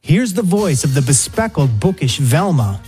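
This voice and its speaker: A man narrates a documentary from a script. His voice sits fairly high, and music plays softly behind him. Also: sing-song, moderate pace.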